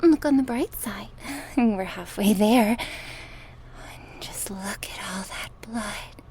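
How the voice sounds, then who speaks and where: A woman playing a sadistic, gleefully cruel goddess in an ASMR horror roleplay, taunting theatrically and slipping into breathy whispers. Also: mid-range voice, slow pace, mellow, a little hiss or hum. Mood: mocking delight.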